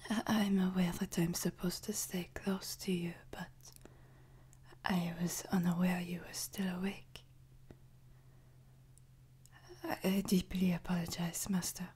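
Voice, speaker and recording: A woman playing a soothing, devoted French maid in a whispered ASMR sleep-aid roleplay, with a French accent and a soft, breathy delivery. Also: on the low side. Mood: apologetic, deferential. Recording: noticeable background noise, ambience.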